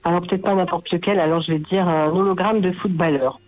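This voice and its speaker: A woman's voice: fairly low pitch, steady tone, brisk, phone-quality sound, faint music behind. A woman with a cold calling a radio game, friendly and thinking aloud with hesitations. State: has a cold, stuffy voice. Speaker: caller. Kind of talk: phone-in call to a radio game.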